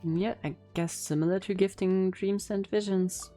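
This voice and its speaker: A woman's voice: medium pitch, fairly even intonation, moderate pace, warm tone. A woman giving casual, laid-back, wry commentary as she reacts to a video game story. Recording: game music behind.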